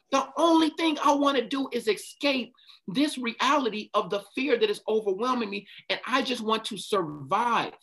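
A man's voice: extremely high pitch, a bit bright. A man giving a passionate motivational talk, stopping often to ask his listeners questions. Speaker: speaker leading a group talk.

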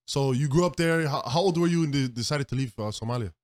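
Male interview host, mid-pitched, very quick delivery, informal and conversational. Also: a little mellow. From Canada. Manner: informal, repeats phrases and checks in often.

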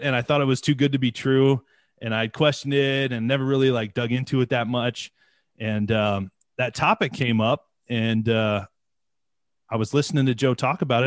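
Man, podcast host, casual rambling chat, mid pitch, dry close mic. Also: dry recording. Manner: chatty, rambling storyteller with many fillers.

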